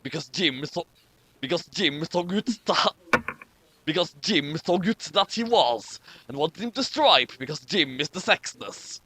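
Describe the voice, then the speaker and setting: A man hosting and narrating in a casual, wordy, deliberate way. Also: a little high, animated, pushed and bright, breathy edge. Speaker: channel host and narrator. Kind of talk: casual banter and comic story reading.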